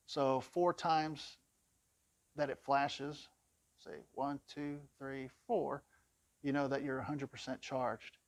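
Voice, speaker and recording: A man's voice: medium pitch, no room sound. Man giving a dry, close-sounding product walkthrough. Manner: plain-spoken, conversational explaining. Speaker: product demonstrator.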